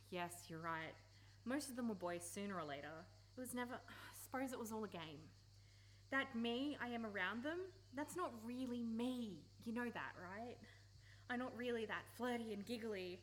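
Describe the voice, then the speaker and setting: An actress plays a conflicted young woman, her voice on the high side. She is halting, defensive and emotional, in a radio drama recorded with faint noise. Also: lightly acted. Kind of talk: radio play acting.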